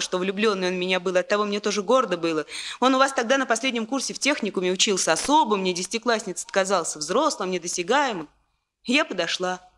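A woman's voice: medium pitch, a bit bright, close to an everyday voice. Casting: actress for soothing, plain-spoken young country woman, mid-range voice, wistful confidante in a Soviet radio play. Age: 20s.

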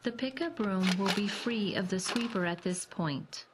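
Woman reading steady repair instructions. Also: mid-range voice, noisy background. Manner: measured, even step-by-step instructions. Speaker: instructional narrator. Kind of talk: scripted instructional voice-over.

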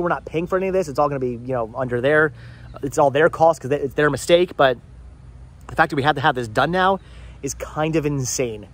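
Fast-talking exasperated man vlogging outdoors. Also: a little high. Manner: fast, rambling, exasperated, repeats words. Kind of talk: frustrated life-update vlog. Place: outdoors in a house yard. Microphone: phone camera held at arm's length.

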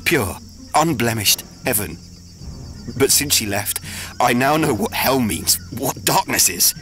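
A man's voice: mid-range voice, lively intonation, a little slow, pushed and bright, slight background noise. An actor playing a desperate, disgraced young Englishman who pleads in anguish in a radio play.

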